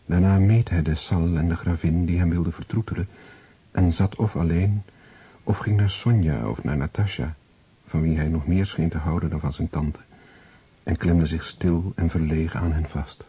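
Deep, dark, husky male storyteller. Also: fairly even intonation, normal speed. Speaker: narrator. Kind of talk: scripted literary narration for radio drama.

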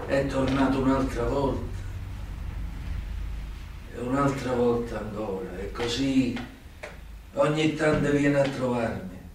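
An actor playing an old, sick, brooding mobster turned informant in a radio play, halting and theatrical, with long pauses. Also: male voice, medium pitch, a little hiss or hum, natural voice. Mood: hesitant, confiding. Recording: very echoey, distant recording with music behind.

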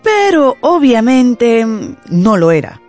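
Mellow, expressive woman storyteller. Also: on the high side, slow, faint music behind. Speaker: narrator. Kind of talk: dramatized literary storytelling for radio.

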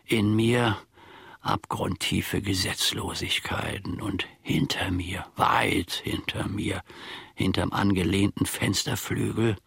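An actor playing a grumbling, self-important old manservant in a German radio play, husky and gravelly, telling his grievances in halting, mock-formal phrases. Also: deep voice, steady tone, fairly bright tone, no room sound, in a whisper, studio quality, exaggerated voice. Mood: wry, indignant storytelling.

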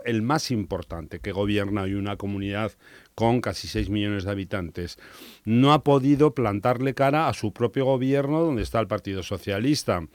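A man's voice: lowish voice, darkish tone, clean tone, dry recording. A man commenting in a radio debate, opinionated and often correcting himself mid-sentence. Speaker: panelist.

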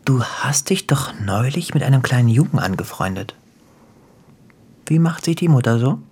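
An actor playing a menacing, sardonic gangster boss in a radio drama, taunting and playful, slipping into breathy whispers. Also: male voice, mid-range voice, animated, normal speed, darkish tone. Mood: taunting threat. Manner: taunting, theatrical, dropping into whispers. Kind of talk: German radio drama acting.

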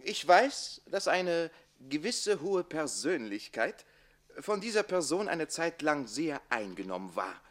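A man playing a cheeky, quick-witted servant bridegroom, an amorous rogue, in playful theatrical radio comedy acting. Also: fairly high pitch, fairly bright tone. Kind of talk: classic German radio comedy play acting.

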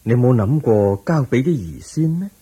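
A man acting a calm, patient, wise teacher in a radio drama, measured and gently instructive, with a Hong Kong Cantonese accent. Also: mid-range voice, breathy edge.

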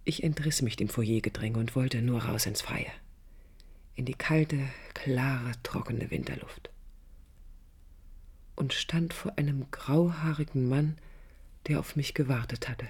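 An actress playing a proud, vulnerable yet resolute woman architect in a radio play, speaking in a reflective, deliberate, emphatic way with a breathy voice. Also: a bass voice, darkish tone, full character voice. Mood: restless, hushed. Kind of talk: radio play acting, introspective first-person monologue.

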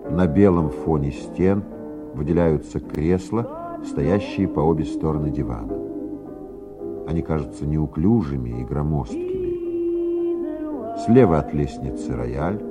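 A man reading scripted dramatic narration for a radio play in a measured, theatrical manner. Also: a bass voice, wildly swooping pitch, over loud music.

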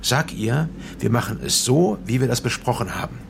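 A middle-aged man as a hard, condescending politician in a radio crime drama. His mid-pitched voice has a rough creak, and he lectures sternly, with menace under a natural conversational delivery. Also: sing-song, moderate pace, background noise.

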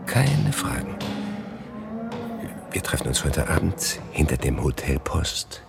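Very deep, gravelly, sly grave robber. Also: male voice, very animated, takes it slowly, over music, heavily acted. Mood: sly, conspiratorial. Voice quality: gravelly, often dropping into breathy whispers. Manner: conspiratorial, clipped, coaxing. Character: furtive, sly male grave robber selling corpses. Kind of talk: radio drama acting.

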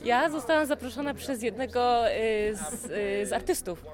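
A woman's voice: on the high side, moderate pace. Hesitant woman interviewed in a crowd. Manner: hesitant, careful, slightly formal. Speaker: audience member being interviewed. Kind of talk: polite interview answers. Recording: busy crowd behind the voice. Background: crowd chatter.

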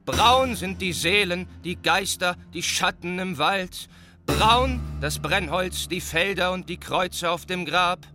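Casting: solemn village reciter for radio drama, very high male register, incantatory verse. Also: slow. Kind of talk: scripted radio play recitation, list-like verse.

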